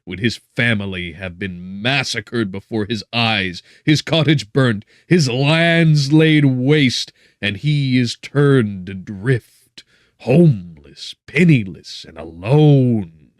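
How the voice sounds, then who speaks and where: A man voicing a tormented, doomed young gentleman, brooding and theatrical, in a gothic audiobook narration. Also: mid-range voice, no echo, clean studio sound, lightly acted. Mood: anguished, bitter. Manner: brooding, theatrical, deliberate emphasis.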